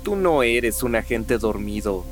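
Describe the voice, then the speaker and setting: Medium-pitched, firm, serious man played by an actor. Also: lively intonation, unhurried, faint music behind, natural voice. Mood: grave. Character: serious, sceptical man used as a soldier. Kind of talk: Spanish-language radio drama acting.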